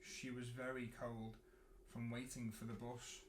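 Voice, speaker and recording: A man reading a picture book to children in an expressive storytelling style, with dramatic pauses and drawn-out words. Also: medium pitch. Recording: roomy echo, clear background noise.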